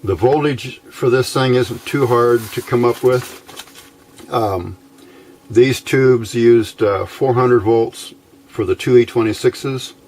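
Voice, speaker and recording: A man giving a casual, unscripted technical explanation as a hobbyist presenter, haltingly, thinking aloud as he goes. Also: mid-range voice, darkish tone, a little hiss or hum. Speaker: amateur radio hobbyist presenting a how-to video.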